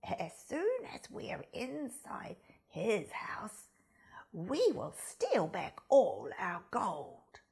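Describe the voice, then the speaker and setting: Lady doing a big mean sheep gang leader from a kids book, drawn-out plotting voice, bossy. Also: mid-range voice, wildly swooping pitch, creaky, a little mellow, breathy edge, voice pushed down, exaggerated voice. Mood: scheming. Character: big bad scheming male head sheep, storybook villain.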